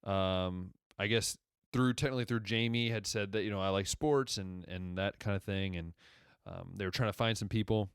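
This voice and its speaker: Young man, 20s, deep radio voice, low pitch, casual rambling podcast talk. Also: steady tone, moderate pace, clear, resonant tone, no echo, clean studio sound. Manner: rambling and conversational, backtracking often. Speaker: podcast host. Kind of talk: casual solo podcast monologue.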